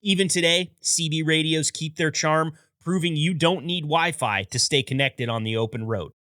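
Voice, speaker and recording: Male podcast host, scripted storyteller, crisp forceful tone, slightly high voice, close dry sound. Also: moderate pace, no room sound. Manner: upbeat, storytelling delivery with wry asides. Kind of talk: scripted narration.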